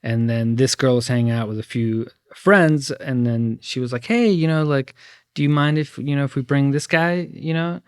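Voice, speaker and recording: A man's voice: mid-range voice, steady tone, normal speed, smooth, dry recording, clean studio sound. A man from Mexico who grew up there and in the States, a podcast guest telling stories in a playful, bursty way. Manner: playful storyteller, talks in bursts. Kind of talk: casual conversation.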